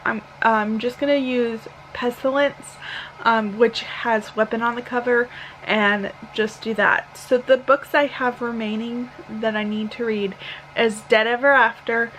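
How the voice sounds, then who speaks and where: Woman in her thirties, fairly high voice, slightly hoarse and tired, slow halting delivery, casual vlog chat, rambling. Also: fairly bright tone, a little hiss or hum, rough recording. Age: 30s. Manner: halting, rambling, self-correcting. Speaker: book vlogger. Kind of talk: casual reading update vlog.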